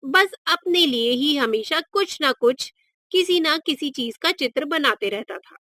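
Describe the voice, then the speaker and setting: A woman's voice: high-pitched, expressive, unhurried, bright, forceful tone, dry recording, clean studio sound. A woman narrating a scripted moral story for children as a storyteller.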